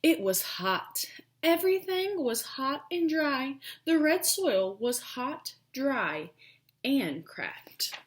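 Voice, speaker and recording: A woman teacher reading a children's storybook aloud, expressive and emphatic, pausing to think aloud and ask questions. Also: high voice.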